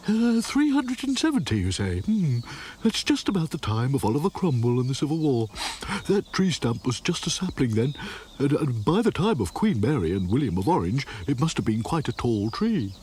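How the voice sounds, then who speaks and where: A man's voice: mid-range voice, very animated, moderate pace, darkish tone, a little hiss or hum, pitched above the performer's own voice, close to an everyday voice. A man voicing a short-sighted, talkative old male mole who stammers and puffs. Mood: flustered. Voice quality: stammering, breathless and puffing.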